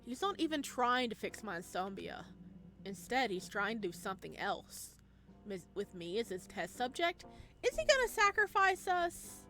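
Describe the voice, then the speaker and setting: A woman's voice: on the high side, moderate pace, faint music behind. A woman reading a visual novel game aloud, with dramatic, theatrical narration and playful reactions. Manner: expressive, theatrical.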